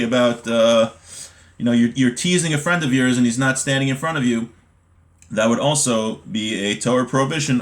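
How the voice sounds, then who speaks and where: A man's voice: medium pitch, a bit bright. An American man with a mid-range voice leads an informal online class. He explains in a conversational, step-by-step way, as a teacher working through a text. His delivery is unscripted and leans on everyday examples. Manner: conversational, explanatory, checks in with "right?". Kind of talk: informal text study, reading aloud then explaining with examples.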